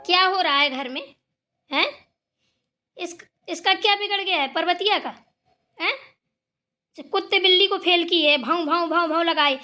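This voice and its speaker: A woman's voice: very high-pitched, normal speed, pauses often, pushed and bright, soft background music. A woman voicing a pious old village grandmother in a Hindi family cartoon, bossy and complaining. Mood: grumbling.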